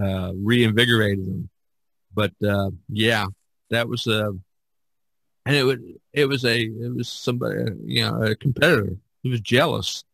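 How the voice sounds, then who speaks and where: A man's voice: fairly low pitch, fairly bright tone, no room sound, poor audio. Man podcast host with a rambling delivery. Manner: rambling, repeats and trails off. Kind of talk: casual podcast discussion.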